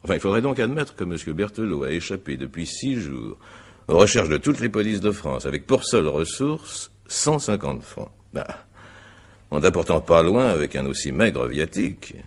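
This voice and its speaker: An actor playing a courteous, insistent examining magistrate in a radio mystery drama, putting questions in a formal, measured and hesitant way. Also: male voice, low-pitched, very animated, exaggerated voice. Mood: probing, formal.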